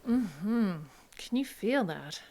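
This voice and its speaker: A woman's voice: mid-range voice, animated, takes it slowly. An actress playing a languid, seductive undead woman in a radio horror drama, coy, teasing and slyly menacing. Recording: loud music under the voice.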